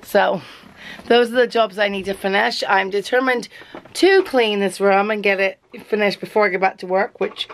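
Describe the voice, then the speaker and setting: A woman's voice: mid-range voice, fairly even intonation, moderate pace, slight background noise. A woman in her thirties chatting casually into a handheld camera in a cluttered bedroom at home, rambling and poking fun at herself. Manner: chatty, rambling, self-deprecating. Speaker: vlogger, teacher. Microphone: handheld camera at arm's length.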